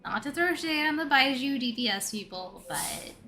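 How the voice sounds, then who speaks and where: A woman's voice: sits high, big pitch swings, moderate pace, sharp tone, soft background music. A woman live streamer chatting casually and thinking aloud as she comments on a game.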